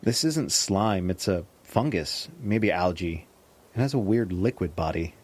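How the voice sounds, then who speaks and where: An actor playing a cautious, worried man surviving on the road in an audio drama, with a husky voice and wary, questioning delivery. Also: low-pitched, animated, a little slow. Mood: wary, tense. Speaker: supporting lead actor. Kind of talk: naturalistic audio drama dialogue.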